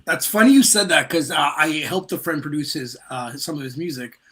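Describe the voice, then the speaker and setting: A male podcast host with a notably high voice and a bright, forceful tone. He chats casually and informally, leaning on slang and repeating himself as he goes. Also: animated, a little fast. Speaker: podcast host interviewing a music artist. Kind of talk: casual, chatty podcast hosting.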